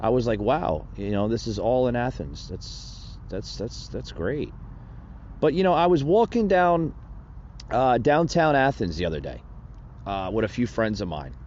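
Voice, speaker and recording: A man's voice: medium pitch, big pitch swings, a little slow, darkish tone, muffled recording, slight background noise. A man hosting a solo political commentary podcast, rambling and indignant, with many fillers and restarts. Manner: indignant and preachy. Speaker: podcast host.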